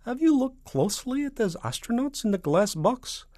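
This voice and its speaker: An indignant storybook Soviet premier, formal and deliberate. The pitch is lifted high and swoops widely, with drawn-out stresses and a dry, close sound. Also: male voice, very animated, darkish tone, dry recording, exaggerated voice. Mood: protesting, sly. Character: emphatic, indignant Soviet premier on the hotline, grown man. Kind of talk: children's storybook character voice.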